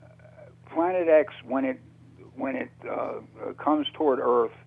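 A man speaking as a radio interview guest, unscripted and halting, often repeating himself as he searches for words. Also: mid-range voice, vocal fry, darkish tone, low-quality recording.

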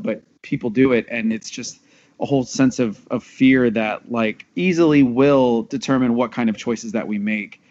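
Male panelist, medium pitch, earnest and thoughtful, casual delivery, muffled lo-fi recording. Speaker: audio drama cast member. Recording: dull lo-fi sound.

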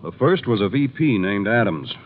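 A man playing an earnest, worried insurance executive in a 1950s radio drama, speaking gravely and emphatically. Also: on the low side, very animated, moderate pace. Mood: grave, urgent. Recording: dull, faintly noisy 1950s radio recording.

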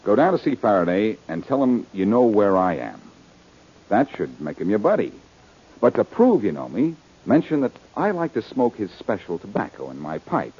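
An actor playing a cool, wisecracking detective hero in a 1940s radio drama, smooth-talking and wry. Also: male voice, on the low side, wildly swooping pitch, moderate pace, heavily acted. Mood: persuasive. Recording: muffled old radio recording.

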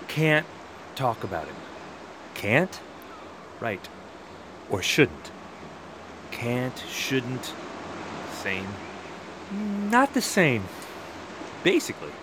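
Man acting a proud, argumentative guard, swinging pitch. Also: background noise. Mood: evasive, insistent. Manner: emphatic, argumentative. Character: proud, defensive male border wall guard. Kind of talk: one-act audio play acting.

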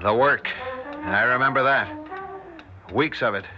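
A man's voice: medium pitch, unhurried, over loud music, close to an everyday voice. An actor playing a troubled male scientist in a 1960s radio drama, speaking in dramatic, reflective, clipped phrases. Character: troubled male electronics scientist narrating his strange ordeal. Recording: muffled, noisy 1960s radio recording.

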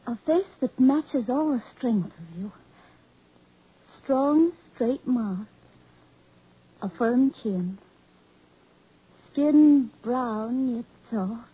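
An actress playing a gentle, trusting young woman in a 1940s radio drama, with an earnest, theatrical delivery and breathy voice. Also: sits high, exaggerated voice. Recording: muffled 1940s radio recording.

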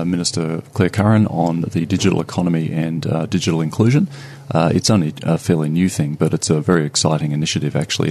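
A man with an Australian accent, a guest on a podcast, answering questions in quick, fluent conversational talk with frequent fillers. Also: very deep voice, darkish tone. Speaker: management consultant, podcast guest.

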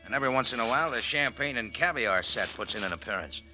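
Wry, lively hard-boiled American. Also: male voice, mid-range voice, fast, soft background music, rough recording. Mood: wry, unruffled. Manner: dry, sardonic, slangy. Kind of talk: 1940s radio acting, first-person narration.

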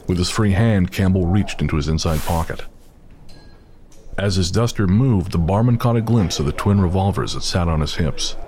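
A man narrating a scripted horror audio drama in a measured, steady way. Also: low-pitched, slight background noise. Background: music.

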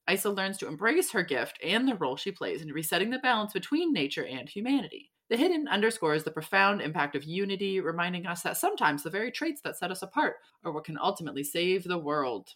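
A woman literary agent reading a letter aloud on a podcast in a measured, expressive way. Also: mid-range voice.

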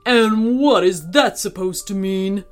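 Woman voicing a slow, grumpy storybook gorilla. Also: on the high side, lively intonation, a little mellow, faint music behind. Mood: grudging. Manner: dismissive, huffy, complaining. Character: grumpy, rude, impatient male gorilla loner. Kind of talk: children's story character voice.

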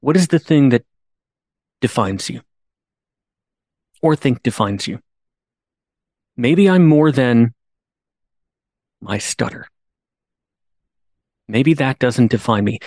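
A man's voice: medium pitch, lively intonation, slow pace, pauses often, darkish tone, no echo. Guy telling a story on his podcast, earnest, mid voice, bit of repeating words, dry mic. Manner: earnest, repeats words when restarting, rises to emphatic pleas. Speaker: podcast host. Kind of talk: storytelling monologue.

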